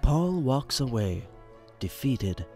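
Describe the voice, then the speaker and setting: A man's voice: mid-range voice, sing-song, slow, warm tone, soft background music. A man narrating a radio play in the present tense with a conversational storytelling manner.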